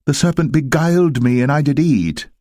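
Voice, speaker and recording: A man's voice: fairly high pitch, normal speed, darkish tone, dry recording, pitched above the performer's own voice, close to an everyday voice. An innocent first woman voiced by a man answers in an earnest, plain way. The scripture drama has a dry, close sound. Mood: guilty.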